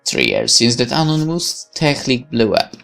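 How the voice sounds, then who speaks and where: A man's voice: mid-range voice, normal speed, vocal fry, soft background music. A man reading a visual novel's text aloud as dramatic, sardonic first-person narration. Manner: expressive, sardonic. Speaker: let's player reading game text.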